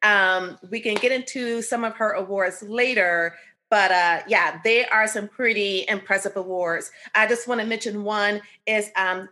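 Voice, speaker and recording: Bright, eager woman podcast host. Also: mid-range voice. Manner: enthusiastic, effusive praise. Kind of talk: interviewing a guest.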